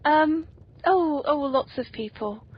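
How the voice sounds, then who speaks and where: A woman's voice: high-pitched, slow pace. A woman hosting a casual, playful interview chat, talking in a self-deprecating way. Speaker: podcast host and author. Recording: dull lo-fi podcast audio.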